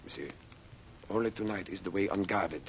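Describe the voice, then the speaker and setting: Slippery french-accented schemer, low silky voice, way too polite, old radio drama, muffled with faint hiss. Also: male voice. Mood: coaxing, secretive. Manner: silky, over-polite, halting foreign phrasing. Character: sly, obsequious French-speaking schemer in Cairo, falsely humble.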